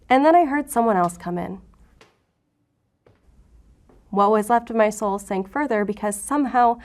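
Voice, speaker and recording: An actress playing a wounded, guarded female FBI agent who talks with sardonic defiance in an audio drama. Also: medium pitch. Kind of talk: audio drama acting, confessional.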